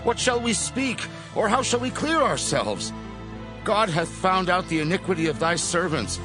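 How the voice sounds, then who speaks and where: Pleading Hebrew servant male actor, high voice, wide emotional swings, humble and declamatory, Bible drama. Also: lively intonation, normal speed, music in the background. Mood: anguished, contrite. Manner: imploring, declamatory. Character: Judah, pleading humble brother, anxious servant before lord. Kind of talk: dramatized Bible audio acting.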